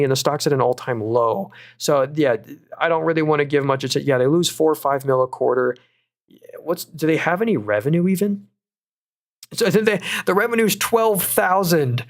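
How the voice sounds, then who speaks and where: A young man hosting a live stream, reading viewers' comments and talking through stock picks in a casual, upbeat way with lots of fillers. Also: a little high. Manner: casual, upbeat, quick asides, frequent fillers and restarts. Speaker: stock market livestream host.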